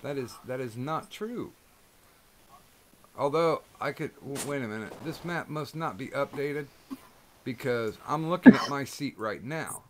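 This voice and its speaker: A man hosting a casual chat, loud and profane, with rambling restarts. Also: mid-range voice, animated, measured pace, crowd noise, poor audio. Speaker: host.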